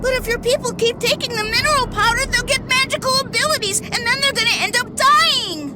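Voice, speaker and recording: A woman's voice: very high voice, brisk. A woman playing an urgent, pleading little girl in a video game cutscene. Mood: alarmed. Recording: loud music behind the voice.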